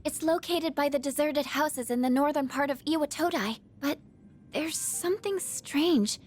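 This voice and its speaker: A woman's voice: high voice, moderate pace, a little hiss or hum, natural voice. An actress voicing an earnest young female scout in a video game, careful in her reports. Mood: focused, uneasy.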